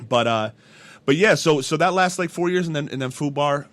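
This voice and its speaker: A man's voice: fairly high pitch, a little fast, a bit bright. A man in his thirties chatting casually as an interview guest, slangy and sweary, telling stories with repeated words into a close mic. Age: 30s. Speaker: hip-hop artist and event promoter, interview guest. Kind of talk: casual podcast interview chat. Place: small indoor room. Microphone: studio mic with pop filter, close. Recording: clear background room noise.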